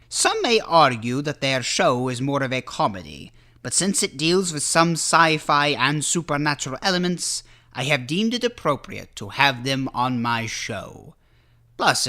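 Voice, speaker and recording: A man's voice: mid-range voice, sharp tone. A man voicing a campy vampire count who hosts a horror show and interviews in a grandiose, formal manner. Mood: grandly welcoming. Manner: theatrical, grandiose, formal wording. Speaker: interviewer and host. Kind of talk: theatrical horror-host introduction and interview.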